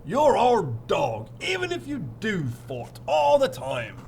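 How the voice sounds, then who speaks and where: A man voicing a grateful father who praises the family dog in drawn-out exclamations, read from a children's storybook. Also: high voice, wildly swooping pitch, creaky, a little mellow, slight background noise, voice pushed up, full character voice. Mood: proud, relieved.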